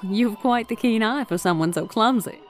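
A woman's voice: on the high side, moderate pace, soft background music, close to an everyday voice. A woman playing a poised, teasing society lady in an amateur 1950s-set radio play, arch and formal.

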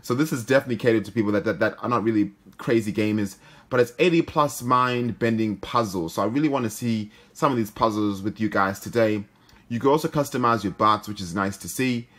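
A man's voice: on the low side, brisk, a bit bright. A man hosting a gaming video, giving casual commentary as he plays. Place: indoor room, filming a handheld phone.

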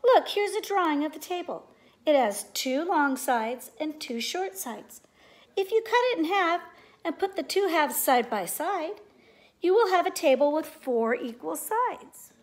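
Woman playing a high-voiced, practical noble lady. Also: animated, measured pace. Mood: helpful. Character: clever, practical noble lady offering solutions.

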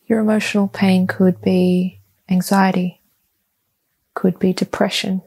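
A woman leading a guided meditation, giving soft, calm, soothing instructions in short phrases with long, deliberate pauses. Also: mid-range voice, husky.

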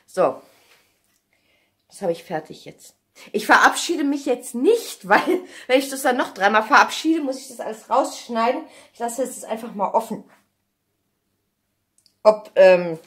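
A woman in her thirties from Germany chats casually to her vlog, rambling and thinking aloud, recorded on a phone at arm's length indoors at home. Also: fairly high pitch. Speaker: vlogger. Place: indoors at home, kitchen, hallway and bathroom.

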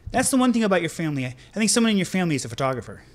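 A man's voice: high-pitched, very animated, rapid-fire. A man co-hosting a podcast, a former chef, talking enthusiastically in a casual chat. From United States, Connecticut. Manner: enthusiastic, self-described people person.

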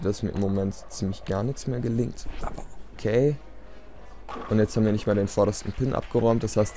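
Low, mellow man commentating a game. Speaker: let's play commentator. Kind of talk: casual gameplay commentary. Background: game music.

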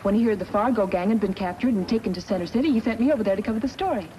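A woman's voice: medium pitch, racing, dark tone, natural voice, low-quality recording. A young woman playing an eager newspaper reporter in a 1950s radio western, earnest and chatty. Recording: old radio recording, faint noise.

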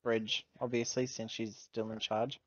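A man's voice: fairly low pitch, flat delivery, measured pace, a bit bright, dry recording, highs cut off. A man chatting casually as a player in a tabletop roleplaying game.

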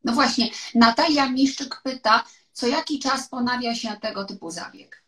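A woman's voice: a little high, normal speed, fairly bright tone, recorded from a distance. A woman in her fifties hosting a video-call interview, asking questions frankly and openly. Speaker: show host. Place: living room, indoors. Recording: echoey, off-mic room sound.

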